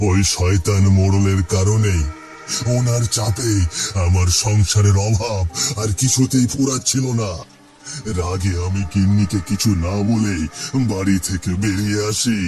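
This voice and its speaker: A man's voice: on the low side, close to an everyday voice. A man voicing the vengeful ghost of a wronged villager in a horror cartoon, grave and menacing. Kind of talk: Bengali horror cartoon dialogue. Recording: music under the voice.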